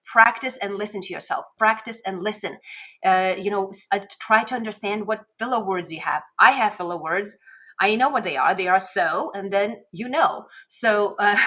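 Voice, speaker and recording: A woman's voice: medium pitch, fairly bright tone. A woman with a Lithuanian accent talks conversationally to camera as a video coach, giving encouraging tips and stressing key words. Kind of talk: casual instructional vlog to camera. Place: home office, indoors. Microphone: desk microphone at a webcam setup.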